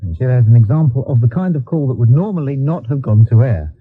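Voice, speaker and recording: Bloke hosting talk radio, dry n wry, bit husky, really tinny radio sound. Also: male voice, mid-range voice, expressive, quick pace. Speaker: radio phone-in host. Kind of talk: live talk radio chat. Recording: thin, band-limited radio recording.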